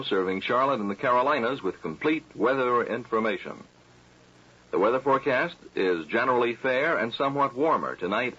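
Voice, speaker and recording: Bright, husky American station announcer. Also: male voice, medium pitch. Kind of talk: radio station identification and weather. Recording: muffled vintage radio recording.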